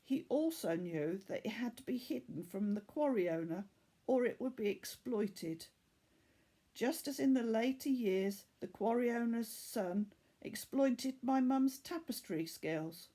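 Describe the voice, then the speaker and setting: A woman reading the part of a weary, earnest boy from Bangladesh in a storybook, telling his story in a measured way. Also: a little high, lively intonation, mellow. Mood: earnest, confiding.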